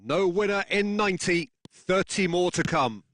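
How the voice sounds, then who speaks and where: A man's voice: high-pitched, lively intonation, moderate pace, fairly bright tone. A man voicing a football video game's play-by-play commentator, with dramatic, punchy phrasing. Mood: engaged, dramatic.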